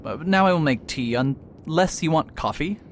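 A man's voice: on the high side, normal speed, close to an everyday voice. A man playing a proud, faded detective who talks pompously and hesitates with filler sounds, in an amateur radio drama. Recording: noisy recording with steady hiss.

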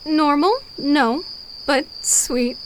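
Woman playing a higher-voiced wistful female soldier. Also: very slow, low-quality recording. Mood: wistful, tender. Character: young female soldier Olivia, wistful, affectionate. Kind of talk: audio drama acting. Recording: clear background noise.

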